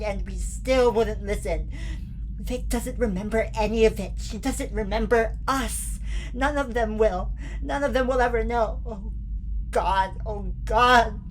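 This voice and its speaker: A woman's voice: mid-range voice, a little hiss or hum, lightly acted, low-quality recording. A woman acting in an audio drama as a distraught, guilt-ridden young man who has travelled in time, pleading and repeating in anguish.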